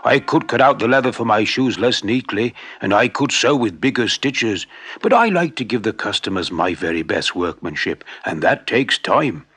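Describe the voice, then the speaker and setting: A man voicing an old, patient shoemaker in a storybook, speaking slowly and softly. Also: fairly low pitch, expressive, husky, no room sound.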